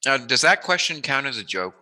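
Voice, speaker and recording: A man's voice: medium pitch, big pitch swings, a little fast, sharp tone. A man hosting a casual, chatty interview, tossing in joking asides as he asks questions. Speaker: interviewer, host.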